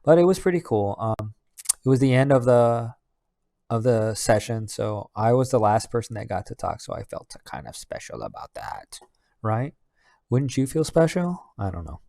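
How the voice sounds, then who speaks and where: A man's voice: mid-range voice, expressive, moderate pace, vocal fry, warm tone, no echo, studio quality. A man giving a casual personal vlog update in a rambling, conversational way. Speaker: vlogger; Air Force master sergeant in knowledge management.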